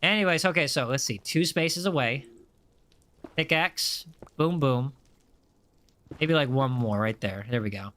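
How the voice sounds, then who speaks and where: A man's voice: medium pitch, unhurried, pauses often, sharp tone. A young American man with a general American accent, chatting casually and upbeat while playing a game on a livestream and reading chat aloud. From USA. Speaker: streamer.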